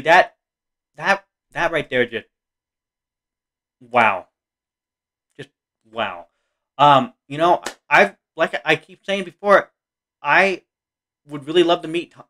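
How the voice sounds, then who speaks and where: An American man in his thirties giving casual reaction commentary in a halting, repetitive, earnest way. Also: a little high, fairly bright tone. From Oregon, United States. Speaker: reaction video host. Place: small room indoors.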